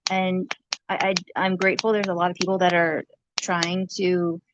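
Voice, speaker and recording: A soft-voiced woman talking casually as in a vlog, halting and rambling. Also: on the low side, flat delivery, heavy background noise.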